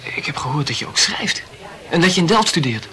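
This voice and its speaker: A man's voice: on the high side, big pitch swings, brisk, sharp tone. An actor in a radio play playing a polite, reserved young man who answers hesitantly and with dry tact. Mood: politely curious. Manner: hesitant, terse, drily polite. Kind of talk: literary radio play dialogue.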